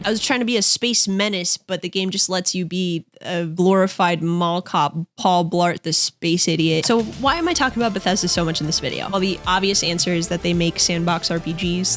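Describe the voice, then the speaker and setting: Young woman w/ a sick raspy voice, kinda low, snarky game commentary, bit of music under. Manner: sarcastic, slangy, jokey. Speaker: gaming commentary youtuber.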